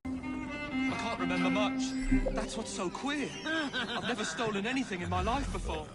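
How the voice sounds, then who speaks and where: A man's voice: very high voice, animated, normal speed, loud music behind the voice. A man playing a bewildered, haunted thief in a video game, protesting his innocence in a hazy manner. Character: bewildered jailed thief claiming demonic control. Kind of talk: game cutscene dialogue. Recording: clear ambient noise.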